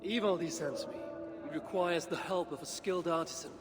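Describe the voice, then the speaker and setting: An actor playing a weathered, wary Norse god-warrior, gravelly, grave and measured, in a dramatic video game cutscene. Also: male voice, a little high, music in the background, close to an everyday voice. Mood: commanding.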